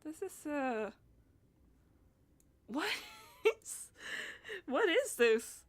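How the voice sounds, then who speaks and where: A woman with a fairly high voice chats through a game on a live stream. She speaks very slowly and hesitantly. Her phrases often trail off as she thinks aloud. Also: sing-song. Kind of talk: casual live gaming stream commentary.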